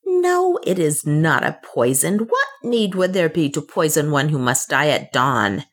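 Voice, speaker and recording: A woman voicing a desperate, secretive servant woman in a storybook reading, speaking quietly and insistently. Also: sits low, very animated, measured pace, full character voice. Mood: scheming.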